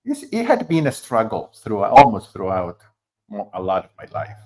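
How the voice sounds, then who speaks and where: A middle-aged man from Argentina, a concert pianist being interviewed, who talks in a halting way with many fillers and restarts. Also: lowish voice, wildly swooping pitch, a little mellow. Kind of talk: conversational interview.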